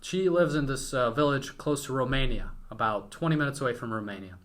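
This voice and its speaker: Young man with a bright, forward voice. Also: medium pitch, a little fast. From Western Ukraine. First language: Ukrainian. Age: young adult. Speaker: podcast guest, young entrepreneur. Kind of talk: casual conversational interview.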